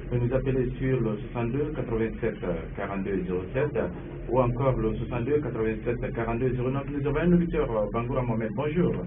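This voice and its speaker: A man's voice: medium pitch, fast talker. A man hosting a call-in radio show, formally announcing the show and taking callers. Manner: formal announcer, repeating phone numbers. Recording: far-off tinny radio with noise.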